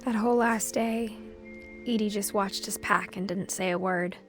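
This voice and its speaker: An actress playing a reflective teenage girl, narrating her family's past in a soft, measured, subdued way for a video game. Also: medium pitch, fairly even intonation, soft background music, close to an everyday voice. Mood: pensive, melancholy. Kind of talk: scripted first-person game narration.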